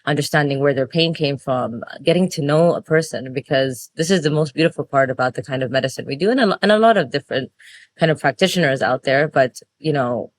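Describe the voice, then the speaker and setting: A woman's voice: low voice, fast, no room sound. A woman in her thirties from the Emirates, a chiropractor speaking earnestly in a casual interview, with frequent fillers and restarts. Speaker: chiropractor guest.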